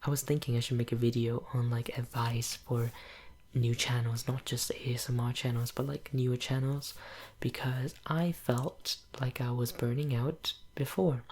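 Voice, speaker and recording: Young woman whispering her asmr chat up close, cantonese first language, kinda husky, lots of ums. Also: very deep voice, fairly even intonation, normal speed. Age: young adult. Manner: soft whisper, rambling with many fillers. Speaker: ASMR channel creator. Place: home room with green screen.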